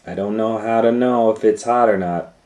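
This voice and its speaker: A man's voice: lowish voice, moderate pace, a little mellow, pitched above the performer's own voice, close to an everyday voice. A man voicing a bold young girl in a children's storybook, exclaiming emphatically in defense of family. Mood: feigned cluelessness. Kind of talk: children's storybook read-aloud. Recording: roomy, echoing indoor sound.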